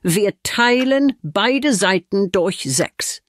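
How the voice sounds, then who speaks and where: A woman playing a firm, slow math teacher. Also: mid-range voice, animated, no room sound, studio quality. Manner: firm, instructive. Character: strict female math teacher, by the book. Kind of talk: children's audio drama.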